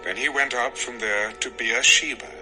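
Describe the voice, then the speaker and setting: A man narrating a dramatized scripture recording in a measured, expressive storytelling manner. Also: medium pitch, poor audio. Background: music.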